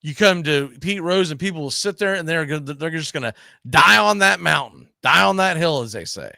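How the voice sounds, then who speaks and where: Bright, high-pitched man arguing sports. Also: animated, brisk, creaky, dry recording, clean studio sound. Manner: argumentative, emphatic, rhetorical questions. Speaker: sports talk show host. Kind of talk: casual opinionated sports discussion.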